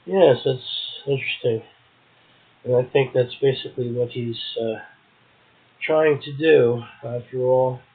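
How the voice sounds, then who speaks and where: A man's voice: mid-range voice, steady tone, unhurried. A man hosting a poetry show, reading verse and prose aloud and commenting on it casually. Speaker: poetry podcast host, poet. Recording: muffled, tinny phone-like audio.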